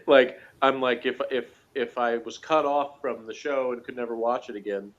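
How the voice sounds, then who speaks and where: A man's voice: mid-range voice, steady tone, moderate pace. A man chatting casually as a guest reviewer, rambling conversationally with plenty of "you know" and "like". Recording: dull lo-fi recording.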